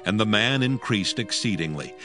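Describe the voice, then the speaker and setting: A man narrating scripture from a script in a measured, solemn storytelling manner. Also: lowish voice, animated, over music.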